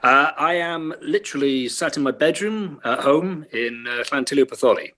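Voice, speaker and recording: A middle-aged man with a light Welsh accent chats as an interview guest, self-deprecating and quick to laugh. He talks from his bedroom at home over a remote call. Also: a little high, pushed and bright. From Wales. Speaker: actor, guest. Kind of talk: casual interview chat.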